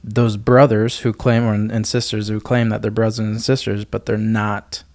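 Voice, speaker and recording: A young man with a fairly low, very even voice that barely rises or falls. He speaks as a podcast co-host in casual discussion, recorded very dry with no room sound. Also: normal speed, no room sound.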